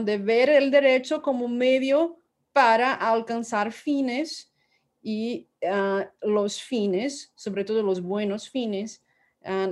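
A woman of middle pitch presents her own book in her second language. She talks slowly and with many hesitations. She restarts phrases often and keeps checking in with her listeners through tag questions. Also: a little mellow. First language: not Spanish.

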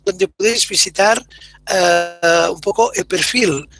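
High-voiced man moderating an online talk. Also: slow pace, fairly bright tone. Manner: wordy, searching for words with fillers. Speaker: event moderator. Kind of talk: introducing a guest.

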